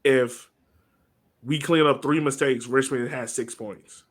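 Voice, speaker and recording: A man hosting a soccer podcast in casual chat, informal and rambling as he thinks out loud. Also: mid-range voice, steady tone, takes it slowly.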